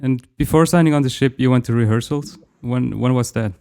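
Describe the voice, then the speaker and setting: A man's voice: mid-range voice, brisk, vocal fry, dark tone, clear, resonant tone. A man hosting a podcast, asking short questions and repeating words in a casual interview. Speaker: podcast host.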